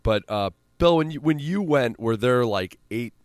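A man's voice: mid-range voice, expressive, normal speed, no room sound. A man with a central Illinois accent, hosting a casual sports podcast with exasperated, sweary rambling.

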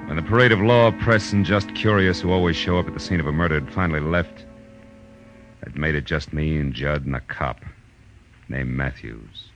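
Casting: hard-boiled 1940s radio private eye, extremely low voice, wry, laconic, dull vintage recording with music. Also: male voice, moderate pace, a bit bright. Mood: reflective narration. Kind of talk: 1940s radio detective drama acting and narration.

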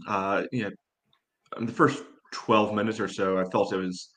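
A man co-hosting a casual sports analysis chat in a halting way, leaning heavily on "you know". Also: lowish voice, steady tone, a bit bright. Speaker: podcast co-host and game analyst.

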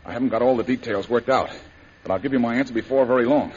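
An actor playing a confident, reassuring adventurer hero in an old radio serial, steady and plain-spoken. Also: male voice, medium pitch, fast talker, lightly acted. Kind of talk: 1930s radio serial acting. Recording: muffled old radio recording with hiss.

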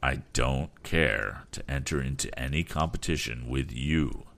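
Guy reading a stern old inventor, cold and curt, deep voice. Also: a little slow, gravelly, a bit bright, heavily acted.

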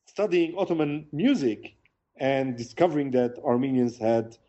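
Mellow-voiced man, hesitant and measured. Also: mid-range voice, dull audio. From Lebanon. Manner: measured, hesitant with many fillers. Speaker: author and academic guest. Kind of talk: remote interview answers. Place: village in the Alps.

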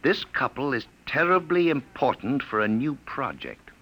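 An actor playing a smooth-talking, coaxing pursuer from the future who poses as a filmmaker, in 1950s radio drama style. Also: male voice, medium pitch, big pitch swings, normal speed, sharp tone. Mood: sly. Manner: glib, coaxing, commanding. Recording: noisy old 1950s radio recording.

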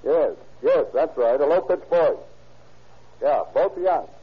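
An actor playing a calm, authoritative county sheriff in an old-time radio crime drama. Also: male voice, fairly high pitch, breathy edge, exaggerated voice. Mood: brisk, firm. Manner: measured, businesslike. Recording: tinny, scratchy old radio recording.

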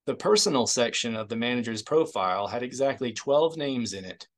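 A man's voice: medium pitch, animated, a little fast. A man narrating a novel in a scripted audiobook reading style.

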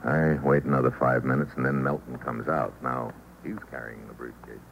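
A hardboiled noir investigator, with an exceptionally deep voice. He talks in clipped, wry slang, deadpan throughout. The sound is a dull vintage radio recording with clear noise behind it. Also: normal speed. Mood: cool narrating. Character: hardboiled male investigator narrating his case, cool and wry. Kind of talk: old-time radio noir drama acting and narration.

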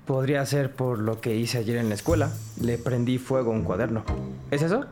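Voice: medium pitch, normal speed, warm tone, soft background music, lightly acted. A performer playing a rebellious, nonchalant teenage boy with a flippant manner, in an audio drama. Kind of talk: Spanish-language audio drama acting.

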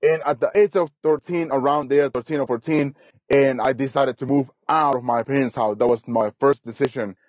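Earnest halting man from Guatemala. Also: mid-range voice, steady tone, a little mellow, no echo. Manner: earnest, repetitive, halting phrasing. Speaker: guest. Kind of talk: casual interview storytelling. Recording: dry, dull lo-fi sound.

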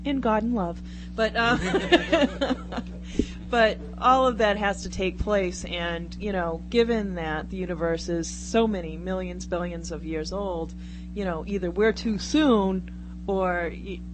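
A woman's voice: medium pitch, slow. A woman chatting casually on a radio talk show, rambling and self-deprecating as she goes. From Brockton, Massachusetts, United States. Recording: very noisy radio recording with hum.

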